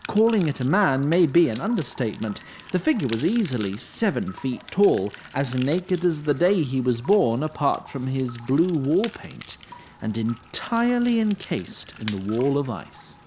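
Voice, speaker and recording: Expressive male fantasy narrator. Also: mid-range voice, measured pace, a little hiss or hum. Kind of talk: scripted storybook narration of fantasy drama.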